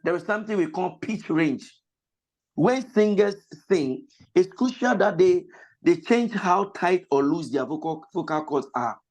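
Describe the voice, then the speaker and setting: A man, a pastor and vocal lecturer, teaching an online voice class over a video call. He has a cold that roughens his voice, explains slowly, repeats his points and breaks into sung examples. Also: high voice, animated, darkish tone. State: has a cold, voice slightly lost.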